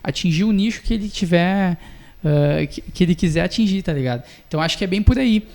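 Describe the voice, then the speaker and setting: A man's voice: sits high, fast. A young man, chatting casually and slangily as a podcast co-host. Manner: relaxed, slangy, uses tu and tá ligado. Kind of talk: casual chat.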